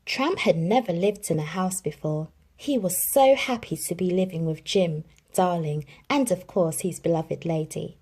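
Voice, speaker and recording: A woman reading a children's storybook aloud in an expressive storytelling manner. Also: on the low side, moderate pace, hardly any pauses, husky. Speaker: children's book reader.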